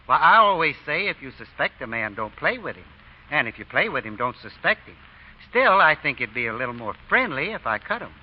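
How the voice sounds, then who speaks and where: An American actor playing a folksy, polite deacon with a sly show of naivety, in old-time radio drama style. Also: male voice, on the high side, very animated, brisk. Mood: feigned innocence. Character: folksy mild-mannered deacon, slyly feigning innocence at cards. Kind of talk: 1930s-40s radio drama acting. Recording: dull, noisy old radio recording.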